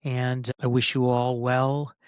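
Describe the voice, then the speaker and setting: A man in his forties delivers a casual podcast rant with little variation in pitch. His phrasing is halting and circles back on itself, with a frustrated, sweary edge. The sound is dry, with very little room in it. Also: flat delivery, clear, resonant tone, dry recording. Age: 40s. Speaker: podcast host. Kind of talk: casual personal rant to listeners.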